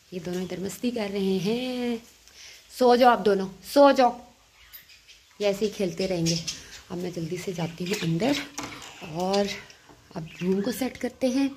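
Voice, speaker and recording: A woman's voice: mid-range voice, a little hiss or hum. A young woman, chatting casually to viewers as friends while filming around her home on a phone, with a sore throat. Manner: chatty, warm-hearted, addressing viewers as friends. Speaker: vlogger. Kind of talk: casual home vlog voice-over. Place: home, indoors.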